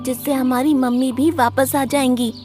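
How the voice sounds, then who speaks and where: A woman's voice: high-pitched, fairly even intonation, normal speed, a little mellow, faint music behind, voice pushed up, close to an everyday voice. A woman voicing a clever, polite little boy bird in an earnest, childlike way for a children's cartoon. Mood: earnest, confident. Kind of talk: Hindi children's cartoon story acting.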